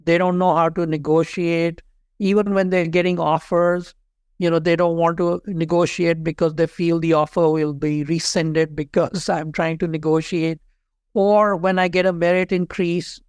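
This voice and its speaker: A man in his fifties from Pakistan with a Pakistani accent, telling career stories as an interview guest in an earnest, steady way. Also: high-pitched, clean tone, dry recording, clean studio sound. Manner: earnest, steady, repeats key points. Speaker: guest, HR leader and author. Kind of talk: interview storytelling.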